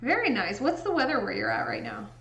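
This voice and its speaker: A woman's voice: a little high, gravelly. A young woman from Indiana with a Midwestern accent, chatting casually with viewers during a livestream while she edits photos. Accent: Midwestern American. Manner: slow, relaxed, chatty with frequent laughs. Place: indoor home room. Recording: echoey room with faint music.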